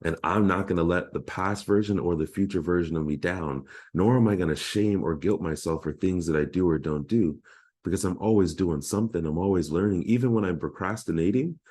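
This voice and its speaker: A man's voice: deep voice, warm tone, breathy edge. A man in his thirties, a life coach giving an earnest, heartfelt motivational talk.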